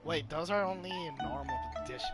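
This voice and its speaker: A man giving casual game commentary as a streamer, halting and musing aloud. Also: on the high side. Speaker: gaming streamer. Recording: loud game music behind.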